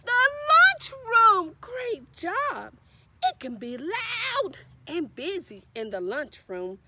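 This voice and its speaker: A woman's voice: very high voice, pushed and bright, slight background noise. A woman reading a children's storybook aloud in a sing-song, encouraging way, with emphatic repetition and questions to young listeners. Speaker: read-aloud storyteller, librarian.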